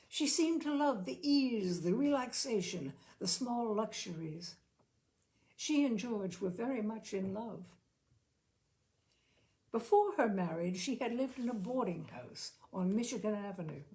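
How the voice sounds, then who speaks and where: Expressive woman reading a story, lightly creaky voice. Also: medium pitch. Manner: acts out characters' dialogue. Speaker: story narrator.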